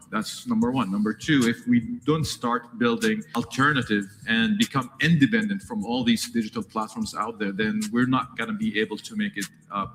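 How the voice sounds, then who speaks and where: A man's voice: medium pitch, fairly bright tone, a little hiss or hum. Earnest man with a reverberant sound. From Palestine. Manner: earnest, repetitive, restarts often. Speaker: tech founder interviewed. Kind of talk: earnest talk about his platform. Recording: long hall reverb.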